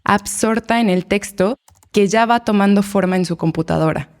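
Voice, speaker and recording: A woman narrating a documentary podcast in a measured, scripted storytelling voice. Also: mid-range voice, a little mellow.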